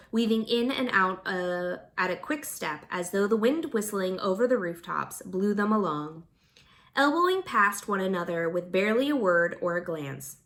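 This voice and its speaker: A woman reading a fantasy novel aloud as a volunteer narrator, expressive and informal, with the odd stumble. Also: medium pitch. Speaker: audiobook-style volunteer reader.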